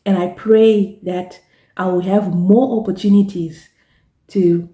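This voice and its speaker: Woman, Zimbabwean accent, Shona native, unhurried, mellow tone, halting restarts, echoey room. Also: mid-range voice, steady tone. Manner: slow and halting, repeating words and restarting phrases. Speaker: travel vlogger and gospel singer. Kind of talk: casual talk to camera.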